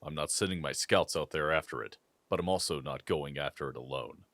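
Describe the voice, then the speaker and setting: A man's voice: a bass voice, fairly quick, a bit bright, dry recording. A man acting a cautious, serious leader of werewolf scouts in an audio drama. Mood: worried, urgent.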